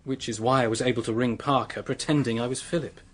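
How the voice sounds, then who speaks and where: A man's voice: medium pitch, steady tone, quick pace, a bit bright, close to an everyday voice. An actor in a radio drama playing a desperate, remorseful young man, speaking in an earnest, pleading way. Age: young adult. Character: desperate, remorseful young male clerk confessing to a killing.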